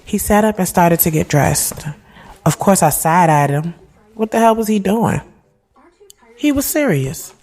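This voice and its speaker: A woman's voice: lowish voice, expressive, unhurried, long pauses, darkish tone. A woman playing a sassy, candid thirty-year-old who tells her own story in slangy, conversational narration. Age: 30s. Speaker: narrator and lead of an audio drama series. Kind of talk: first-person storytelling narration, conversational urban drama.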